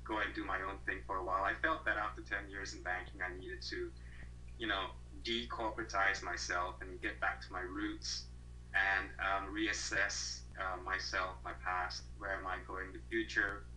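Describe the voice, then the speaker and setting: A man's voice: low-pitched, level tone, normal speed, sharp tone, a little hiss or hum, poor audio. A man in his thirties from Malaysia, a guest on an interview over a video call, talking thoughtfully with many fillers and restarts.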